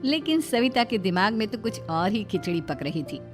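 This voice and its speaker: A woman's voice: mid-range voice, sing-song, moderate pace, music in the background. A woman storyteller reading scripted narration for a cartoon moral tale.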